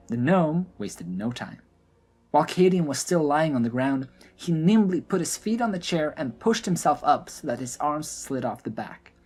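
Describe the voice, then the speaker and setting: A man narrating a scripted fantasy audio drama in a deliberate, dramatic storytelling manner. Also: mid-range voice, a little mellow, husky. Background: background music.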